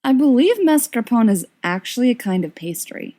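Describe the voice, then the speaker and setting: Woman acting cheeky, melodramatic young man, animated. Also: fairly high pitch, moderate pace, voice pushed up. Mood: teasing. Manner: theatrical, mock-mournful, teasing. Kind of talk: storybook character reading.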